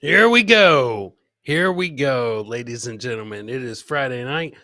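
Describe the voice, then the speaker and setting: Man, forties, casual livestream host, forceful bright voice, highish pitch, jokey and sweary, close dry mic. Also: lively intonation, a little slow, no echo. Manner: jocular, profane, repeats phrases. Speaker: host of a live comedy clip show. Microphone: close podcast mic on a boom arm, headset.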